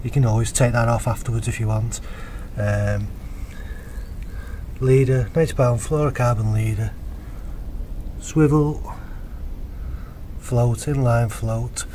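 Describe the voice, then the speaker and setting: A man, casually explaining his fishing rig in a relaxed, plain-spoken vlog, recorded outdoors by a lake on a camera mic. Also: medium pitch, slow pace, pauses often, a little hiss or hum. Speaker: angler showing a fishing rig.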